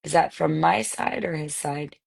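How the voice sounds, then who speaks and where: Female host, low gravelly tone, dry call audio, casual encouraging prompts. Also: normal speed, no room sound. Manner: warm encouraging prompts, chuckles. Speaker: live-stream host, interviewer. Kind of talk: casual interview chat. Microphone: online live video call.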